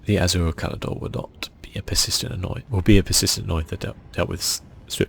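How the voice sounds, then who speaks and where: A man hosting a relaxed ASMR video game playthrough, speaking softly and musing aloud as he goes. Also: deep voice, fast, vocal fry, slight background noise. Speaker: gaming channel host.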